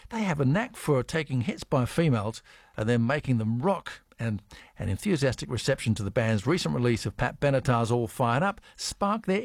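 Chatty radio host, dry mic. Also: male voice, fairly high pitch, dry recording. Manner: chatty, quick affirmations. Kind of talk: casual radio interview.

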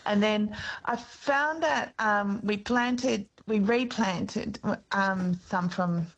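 A woman's voice: medium pitch, takes it slowly, highs cut off. Hesitant woman caller. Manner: agreeable, hesitant.

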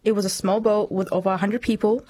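A woman's voice: medium pitch, fairly even intonation, fast talker, warm tone. A woman in her twenties from Myanmar, speaking earnestly and reflectively as an interview guest. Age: 20s. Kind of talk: personal testimony in interview.

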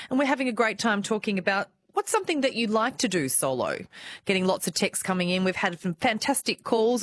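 An Australian woman presenting a live radio talk show, bubbly and enthusiastic, reading out listener texts. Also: a little high. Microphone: studio microphone.

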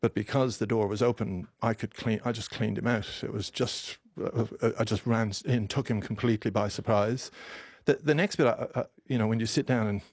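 A man in his fifties from Ireland, a doctor interviewed on radio, telling his story emotionally in halting speech, with many restarts and repeated words. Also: lowish voice, fairly even intonation, a little mellow, breathy edge, dry recording. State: emotional, voice close to breaking.

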